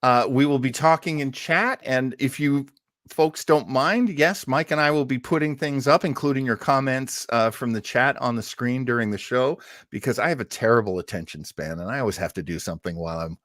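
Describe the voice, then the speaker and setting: A man with a mid-pitched voice hosts a casual online discussion. He explains and comments conversationally, working out his thoughts aloud. The sound is close and dry, with very little room in it. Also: a little fast, a bit bright, no echo. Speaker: host of an online radio-history show.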